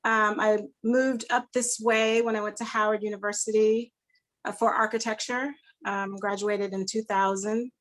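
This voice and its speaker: Unhurried higher-voiced woman. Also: fairly bright tone. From Houston, Texas. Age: 40s. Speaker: meeting participant, art bar owner. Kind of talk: informal self-introduction.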